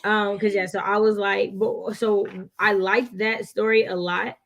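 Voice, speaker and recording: Animated, slangy American woman chatting. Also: mid-range voice, a little slow, vocal fry, dull audio. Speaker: book readalong co-host. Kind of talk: casual book chat.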